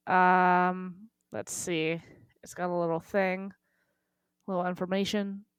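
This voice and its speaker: A woman co-hosting a casual podcast in a low-key, self-deprecating manner. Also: little pitch movement, takes it slowly, clear, resonant tone, no echo, clean studio sound. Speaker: podcast co-host.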